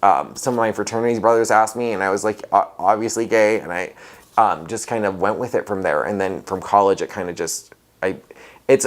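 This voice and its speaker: A young man in his twenties from Hawaii, talking in a casual oral history interview, often pausing with fillers and restarting. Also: on the low side. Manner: hesitant, many um fillers and restarts.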